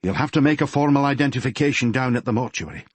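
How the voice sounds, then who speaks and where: Man playing a calm, courteous detective. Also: medium pitch, breathy edge, no echo, pitched above the performer's own voice. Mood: calm, sympathetic. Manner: measured, polite, gently firm. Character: male police detective, calm, courteous, sympathetic. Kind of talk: audiobook character voice.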